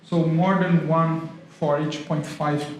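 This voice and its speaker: A man's voice: on the high side, level tone, slow. A man with a Brazilian accent giving a talk at an event, engaging the audience with questions. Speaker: guest speaker, tourism lecturer. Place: event hall. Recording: echoey hall with faint noise.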